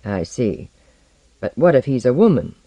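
Reader as a grumpy offhand mentor type, dry and matter of fact, short fuse, storybook dialogue, mellow tone. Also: medium pitch, sing-song, normal speed, no room sound. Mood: morose, irritable. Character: mild, offhand, sour and short-tempered mentor figure.

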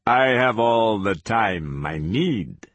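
A man's voice: fairly low pitch, animated, takes it slowly, a bit bright, dry recording, heavily acted. A man voicing a cold, sadistic interrogator in an audiobook, smugly patient, taunting and commanding. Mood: pressing, commanding. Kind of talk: audiobook character voice.

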